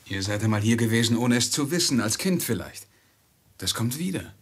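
An actor in a 1950s radio play, playing a merchant's loyal, anxious servant, deferential and urgent. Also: male voice, mid-range voice, lively intonation, a little fast, husky. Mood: soothing.

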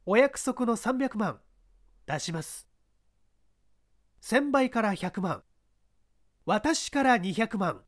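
Sharp, pushy female scout acted by a woman narrator, needling and calculating, very expressive intonation, radio story. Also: fairly high pitch, takes it slowly, long pauses. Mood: pressing, scornful. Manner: insistent, needling, feminine old-fashioned phrasing.

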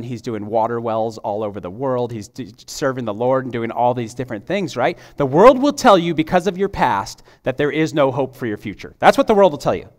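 A man in his thirties preaching a sermon on a church stage, moving from a quiet prayer to emphatic, climbing preaching. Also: mid-range voice. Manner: prays softly, then preaches with rising, emphatic intensity. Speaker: pastor. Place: church stage, large auditorium. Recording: long hall reverb.

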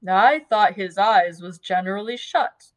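A woman voicing a good-natured, slow-witted carrier man who speaks slowly and deliberately. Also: mid-range voice, close to an everyday voice. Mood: puzzled.

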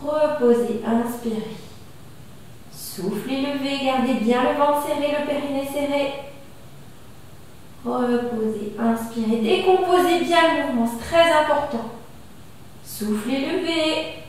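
A French woman in her thirties guides a gym class in a high, unhurried voice, calling out each breath and lift. She is recorded from across a small, very echoey studio. A faint hum of room ambience sits underneath. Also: recorded from a distance. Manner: calm, slow cueing of breath and movement. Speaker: fitness instructor. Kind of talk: guided exercise class instruction. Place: small gym studio with wall bars, indoors.

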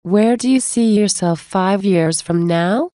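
A woman reading English example phrases for a language lesson, saying many of them twice in a measured way. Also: mid-range voice, mellow, dry recording, clean studio sound. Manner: measured, repeats each phrase slowly. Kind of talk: slow scripted phrase reading.

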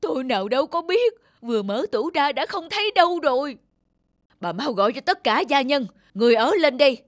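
A man's voice: very high-pitched, big pitch swings, a little fast, no room sound, clean studio sound. A man voicing a stout, proud, blustering village landlord in a cartoon, with an emphatic, formal way of talking. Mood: agitated. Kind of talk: cartoon dialogue.

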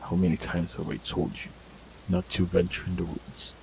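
A man's voice: low voice, normal speed, creaky. A man voicing an ancient immortal male forest warlock in an audio roleplay, speaking with dry, teasing humor. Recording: steady rain noise behind voice. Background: rain.

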